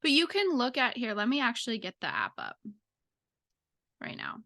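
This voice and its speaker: A woman's voice: fairly high pitch, wildly swooping pitch, moderate pace, pushed and bright. A woman chatting casually as a podcast co-host, informal and peppering her sentences with "like". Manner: informal, heavy use of "like". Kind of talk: casual chat.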